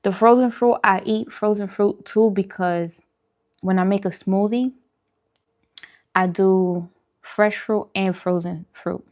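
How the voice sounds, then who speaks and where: A woman's voice: mid-range voice, darkish tone. A woman vlogger chatting casually with viewers, giving emphatic, opinionated food advice and repeating phrases for emphasis. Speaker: vegan food vlogger hosting a mukbang. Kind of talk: casual livestream chat answering viewers.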